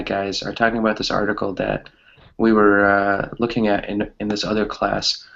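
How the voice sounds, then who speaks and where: Male online class host, lowish pitch, casual and hesitant, lo-fi sound. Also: fairly bright tone. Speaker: online English class teacher. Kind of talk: casual chat with students.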